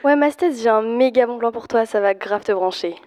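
A young woman performs a pushy agent in a comic audio drama. Her high voice is slangy, insistent and exclamatory. A hall's reverb surrounds it. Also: a little fast, a little mellow, close to an everyday voice. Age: teenager or young adult. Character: pushy, slangy booking agent pitching a gig. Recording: echoey hall, live stage performance.

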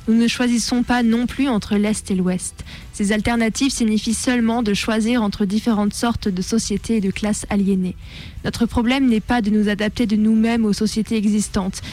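A woman's voice: a little high, darkish tone. A woman formally reading a political text aloud on radio, occasionally stumbling. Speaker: reader on a radio show.

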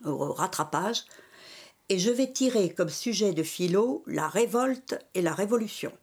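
An older French woman recalling her schooling and early adult life in an interview, telling it in a measured way in the present tense. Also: medium pitch, lively intonation, darkish tone, husky. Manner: measured storytelling in the historic present. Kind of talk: oral history interview.

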